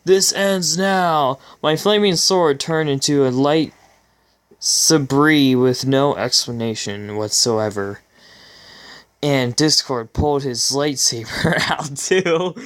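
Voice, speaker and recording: Clear-voiced man reading fan fiction casually. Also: medium pitch, slow pace, fairly bright tone. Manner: informal, amused, stumbling over words. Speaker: channel host.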